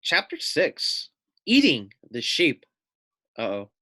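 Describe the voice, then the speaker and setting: A man's voice: mid-range voice, huge pitch swings, slow pace, sharp tone, no room sound. A man reading a children's story aloud as a teacher, with chatty asides and questions to his young listeners. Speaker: teacher reading to first graders.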